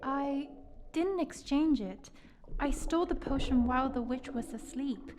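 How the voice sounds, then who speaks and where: A woman playing a caring young mermaid sister in an audio drama, speaking in a hushed, urgent way. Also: sits high, measured pace, a little hiss or hum.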